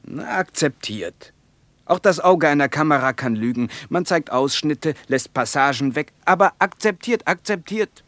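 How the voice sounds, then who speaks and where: Zealous Russian party believer, higher-pitched, animated, scornful, lecturing, dry radio play sound. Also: male voice, unhurried, no room sound. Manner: emphatic, lecturing, evasive under questioning. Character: zealous Soviet Russian man, dogmatic and scornful. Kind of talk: mid-20th-century German radio play dialogue.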